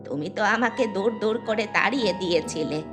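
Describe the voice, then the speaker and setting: A woman's voice: mid-range voice, fairly quick, natural voice. Woman playing a scolding village auntie over music. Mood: sarcastic, reproachful. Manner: scolding, sarcastic. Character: blunt, reproachful village auntie with a grudge. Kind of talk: Bengali moral-story cartoon voice acting. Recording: music clearly behind the voice.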